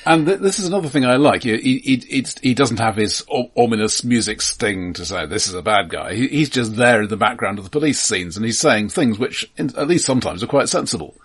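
A man casually discussing a film as a podcast co-host, with a halting delivery full of repeated words and dry, understated humour. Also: mid-range voice, lively intonation, fairly bright tone, breathy edge.

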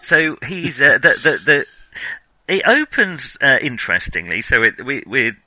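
A male podcast host with a very bright, sharp-edged voice, recorded dry and close. He talks casually about films in a wry, self-deprecating, rambling way, feeling for his words as he goes. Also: mid-range voice, sing-song, a little slow, no echo, rough recording. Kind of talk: casual film discussion.

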